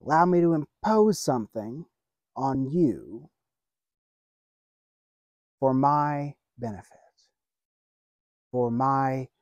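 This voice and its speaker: Slow, mellow-voiced pastor preaching outdoors. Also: male voice, mid-range voice, no echo. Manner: earnest, measured preaching with pauses. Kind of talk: sermon. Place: outdoors by a lake, seated on a bench.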